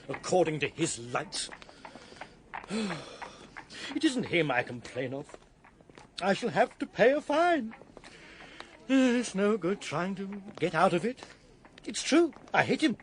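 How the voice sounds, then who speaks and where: Shop clerk feeling sorry for himself, radio play, highish n very up and down. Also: male voice, big pitch swings, slow pace, pauses often, a little hiss or hum, full character voice. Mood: rueful, despairing. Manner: melodramatic, self-pitying. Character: proud, rueful male shop assistant bewailing his ruin. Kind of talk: British period radio drama acting.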